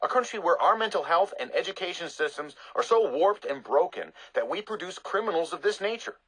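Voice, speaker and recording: Tv commentator, slightly high voice, earnest and reasoned, debate show delivery. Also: male voice, expressive, fairly quick, fairly bright tone, breathy edge, heavily acted, rough recording. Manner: reasoned, articulate. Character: measured, earnest male TV news commentator.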